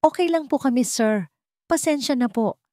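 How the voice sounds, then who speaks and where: A woman's voice: high-pitched, animated, normal speed, dark tone, no room sound, clean studio sound, pitched above the performer's own voice. A woman with a Filipino accent voicing an anxious, pleading young mother in an audio love story. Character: frightened, apologetic young mother guarding her secret.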